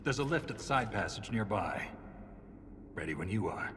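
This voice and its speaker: An actor playing a gruff, battle-hardened commander in a video game, terse, deadpan and sardonic. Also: male voice, fairly low pitch, moderate pace, background noise. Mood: skeptical, businesslike. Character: gruff, skeptical male soldier commander, battle-hardened and dry-witted. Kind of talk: video game cutscene acting. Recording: long hall reverb.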